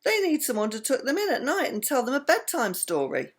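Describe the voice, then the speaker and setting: A woman's voice: high voice, wildly swooping pitch, fairly quick, voice pushed up, heavily acted. An older woman reading the part of a cheeky, scheming, persuasive boy in a bedtime storybook. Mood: coaxing, eager.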